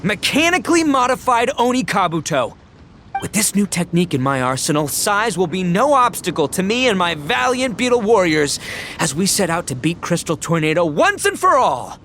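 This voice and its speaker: A man's voice: high-pitched, sing-song, normal speed, slight background noise, exaggerated voice. An actor playing a boisterous, theatrical young man in a video game, earnest and bombastic. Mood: fired-up resolve. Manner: bombastic, dramatic, swinging from solemn to shouting. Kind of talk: animated video game acting.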